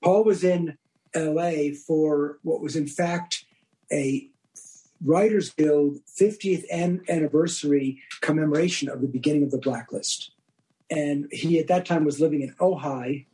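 A man's voice: a little high, unhurried, warm tone, low-quality recording. An older man, a director being interviewed, explaining past events in a conversational style. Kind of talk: explanatory anecdotal chat.

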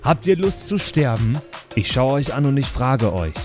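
A man's voice: medium pitch, sing-song, moderate pace, music in the background, lightly acted. Man playing an exasperated, stern sober comrade. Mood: stern pleading. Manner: insistent, pleading, emphatic.

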